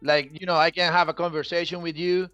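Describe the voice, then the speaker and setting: A man's voice: fairly high pitch, level tone, fairly quick, fairly bright tone, highs cut off. A middle-aged man from Costa Rica, a native Spanish speaker with a Costa Rican accent, telling his own story casually as an interview guest. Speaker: podcast guest, Spanish teacher.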